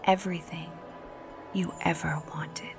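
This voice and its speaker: A woman's voice: fairly low pitch, steady tone, measured pace, vocal fry, over music. A woman playing the hero's sweetheart in a vampire radio play. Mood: seductive, entranced.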